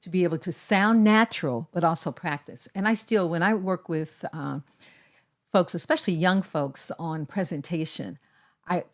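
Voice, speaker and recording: A woman co-hosting a podcast, speaking in a measured, deliberate way as she gives advice in casual conversation. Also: on the low side. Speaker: podcast co-host.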